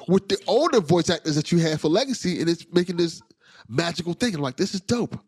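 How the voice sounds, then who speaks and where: A man's voice: a little high, animated, quick pace, darkish tone, husky, no room sound, clean studio sound. A man hosting a podcast, talking in a casual, rambling, enthusiastic way with slang. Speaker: podcast host. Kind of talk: casual interview chat.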